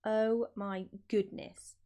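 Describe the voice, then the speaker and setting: A woman reading aloud to children as an expressive storyteller, speaking directly to her young listeners. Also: mid-range voice, slow pace. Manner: addresses child listeners with questions.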